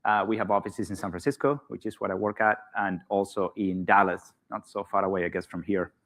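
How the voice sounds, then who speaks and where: Brief: man with a Spanish accent, lowish voice, halting stage-talk delivery full of "uh"s. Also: fairly even intonation, a bit bright. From Spain. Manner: hesitant, many fillers and restarts, light laughs. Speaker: conference speaker. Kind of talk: live conference presentation. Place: conference hall.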